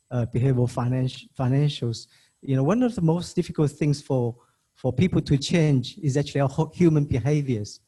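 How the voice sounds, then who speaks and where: A man's voice: medium pitch, dark tone. A man from Shanghai, China, speaking as a panelist at a business forum, conversational and peppered with "you know" and "right". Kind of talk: panel talk.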